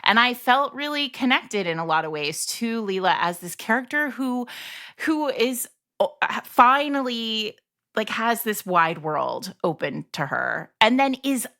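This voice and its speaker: Bright-voiced woman in casual chat. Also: on the high side, measured pace. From grew up speaking Italian at home. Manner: animated, thinks aloud with restarts. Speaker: podcast co-host. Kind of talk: casual book discussion.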